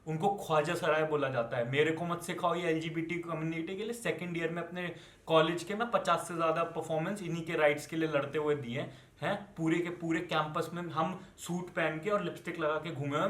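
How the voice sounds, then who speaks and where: A man's voice: a little high, fairly quick, a bit bright. A young man from India with an Indian accent delivers an angry, unscripted rant as an online commentator, scolding and swearing with rhetorical questions and switching into English. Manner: switches between Hindi and English. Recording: echoey, reverberant room.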